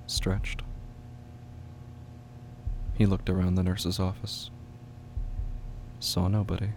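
Low, gravelly man narrating horror. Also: little pitch movement, slow, a little mellow. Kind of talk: scripted horror story narration. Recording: noisy track with low rumble.

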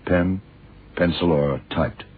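Casting: cool, laconic radio-play detective, extremely low male voice, dull vintage sound. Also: slow pace, slightly rough, heavily acted. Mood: cool, probing. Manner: terse, laid-back questioning. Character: calm, shrewd male blind detective. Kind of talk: 1970s radio crime drama acting. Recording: muffled old radio recording, faint hiss.

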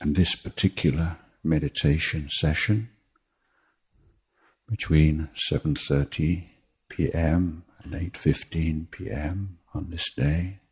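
A man teaching meditation in a guided talk, speaking in measured short phrases with deliberate pauses and a husky voice. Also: a bass voice, a little mellow. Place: meditation retreat.

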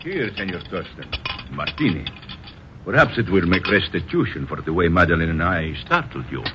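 A man's voice: low-pitched, very animated, moderate pace. An actor playing a suave, courtly Argentine cattleman with a Spanish accent in a 1950s radio drama. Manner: formal, courtly. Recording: muffled, noisy old radio recording.

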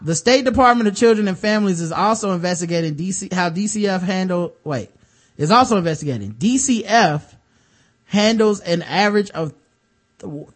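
A man's voice: high-pitched, fairly quick. Light-voiced man reading news with sarcastic asides. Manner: wry, sarcastic asides between read-out passages. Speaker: podcast host. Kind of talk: casual chat, reading news stories aloud.

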